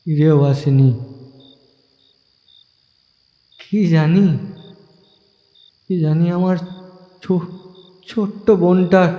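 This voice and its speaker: Casting: actor as wounded young man narrating his tragedy, high but mellow voice, halting and confiding, audio drama. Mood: sorrowful. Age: young adult. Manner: halting, repeating phrases, confiding in listeners. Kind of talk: dramatic first-person audio story narration. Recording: echoey, long reverb over music.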